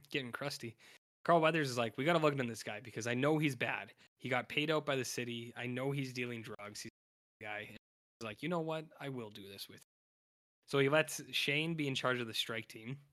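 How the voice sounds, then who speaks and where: A man co-hosting a podcast, recapping a TV show in casual chat, his voice raspy and hoarse from talking, rambling with lots of fillers and restarts. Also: mid-range voice, moderate pace, a bit bright. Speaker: podcast co-host.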